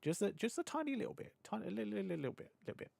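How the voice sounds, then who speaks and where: A young British man streaming live, rambling and laughing as he chats with his audience, his voice a little hoarse from hours of talking. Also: high-pitched, very animated, a little mellow, no echo. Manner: chatty, scattered, quick to laugh. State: slightly hoarse. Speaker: VTuber streamer. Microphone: desk mic on a boom arm.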